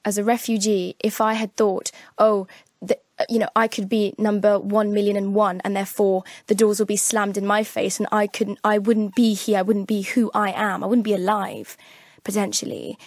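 A woman's voice: medium pitch, little pitch movement, normal speed, a little mellow, no echo, studio quality. A woman journalist from Afghanistan speaking as a guest on a radio discussion, talking with urgent passion and repeating words as she presses her points.

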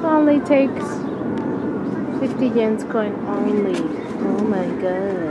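Slow-talking young woman on a station platform. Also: medium pitch, lively intonation, dull audio. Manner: slow and chatty. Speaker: travel vlogger. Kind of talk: handheld vlog talk to the camera. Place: outdoor train platform. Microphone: camera held at arm's length. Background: loud station noise.

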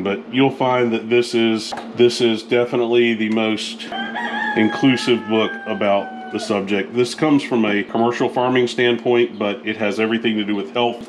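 A man from northern Florida, his voice rough and congested, explaining emu egg incubation in a folksy, talkative way in a small hatchery room. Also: medium pitch. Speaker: emu farmer. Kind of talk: casual how-to farm video. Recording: echoey small room, air conditioner hum.

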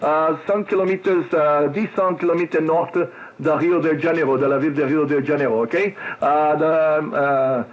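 A Brazilian man, a native Portuguese speaker, chatting as a radio operator in a halting, repetitive way. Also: fairly high pitch, fairly even intonation, muffled recording. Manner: halting, repetitive foreign-language speech. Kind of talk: casual radio contact chat with callsign spelling. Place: cluttered home radio desk indoors.

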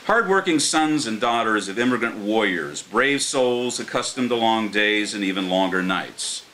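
Older American male poet at a live reading. Lowish, bright, earnest delivery, faint hiss behind. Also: expressive, moderate pace, poor audio. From Martins Ferry, Ohio, United States. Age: older, around 60. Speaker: poet reading his own work. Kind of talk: live poetry reading with informal introductions. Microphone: microphone on a stand. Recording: clear background hiss.

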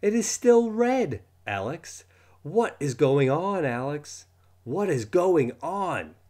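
An excitable schoolboy from a storybook, as a man reads him aloud. He is gullible and quick to outrage, his pitch jumping high and swinging widely as he drags out his words. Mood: outraged yelling.

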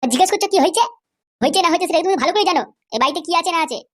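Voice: very high-pitched, animated, racing, a bit bright. A performer voicing an insolent, foul-mouthed son who taunts and orders people around in a comedy cartoon. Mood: taunting. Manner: taunting, bossy.

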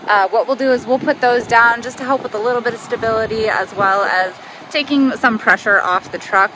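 A woman's voice: a little high, a little fast, background noise. A young woman chatting casually for a travel vlog, upbeat and explaining things as she goes. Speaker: RV travel vlogger. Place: outdoors at an RV park, then inside a pickup truck.

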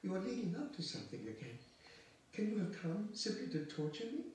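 A man's voice: mid-range voice, very animated, brisk, dark tone, pitched above the performer's own voice, close to an everyday voice. A man voicing a timid, tender young woman who pleads in distress, in an audiobook novel. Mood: distressed pleading. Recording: echoey, distant, faint background noise.

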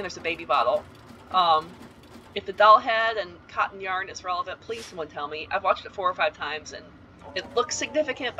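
A woman narrates a game walkthrough in a loose, chatty way, wandering off on asides and filling pauses with ums. Her voice is bright and forward, with a faint hiss of noise under it. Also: on the low side, poor audio. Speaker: video game tour guide. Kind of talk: casual gameplay voice-over tour.

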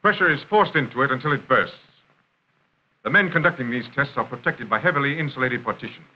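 A man's voice: medium pitch, lively intonation. Muffled 1930s American film narrator, male. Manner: formal, measured announcer delivery. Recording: dull, noisy 1930s film soundtrack.

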